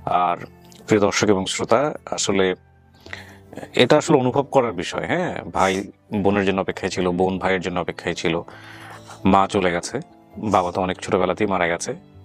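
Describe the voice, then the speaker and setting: A man's voice: low voice, fairly even intonation, moderate pace, pauses often, soft background music. A man with a Bangladeshi accent hosting a show, addressing his guests and audience. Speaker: show host.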